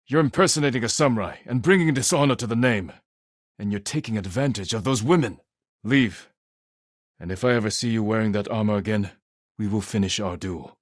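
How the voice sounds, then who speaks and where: A man voicing a stoic, honorable samurai warrior in a video game, speaking in a measured, solemn and restrained way. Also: on the low side, dry recording, studio quality, natural voice. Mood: firm, insistent. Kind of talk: dramatic video game voice acting.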